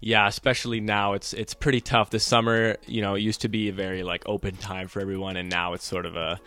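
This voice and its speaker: A man's voice: lowish voice, level tone, fast, bright, forceful tone, clean tone, no room sound. A young man from the United States, a guest on a podcast, talking in an easygoing way with lots of fillers. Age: young adult. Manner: easygoing, filler-heavy talk. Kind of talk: casual interview.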